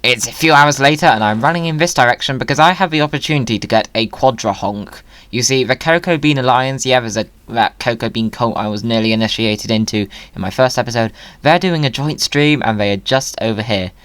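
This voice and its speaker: A man's voice: medium pitch, a little fast, hardly any pauses, fairly bright tone, smooth, no room sound. Playful man narrating his gaming video. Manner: playful, whimsical wording. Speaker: video creator. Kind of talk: casual gaming commentary.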